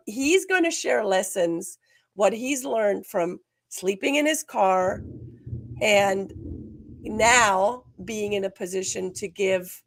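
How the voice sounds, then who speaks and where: Slightly hoarse woman hosting, gravelly edge. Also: medium pitch, no room sound. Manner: energetic, emphatic, restarts phrases. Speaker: podcast host. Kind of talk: scripted show opening and interviewing.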